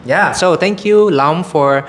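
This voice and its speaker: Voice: mid-range voice, slow pace. An upbeat, encouraging host running an interview show.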